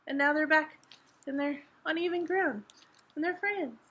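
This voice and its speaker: A woman's voice: sits high, moderate pace, highs cut off, noisy background. A woman reading picture books aloud to toddlers as a story-time host, with simple, emphatic, sing-song phrasing. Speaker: children's library story-time reader. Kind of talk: animated picture-book read-aloud for toddlers.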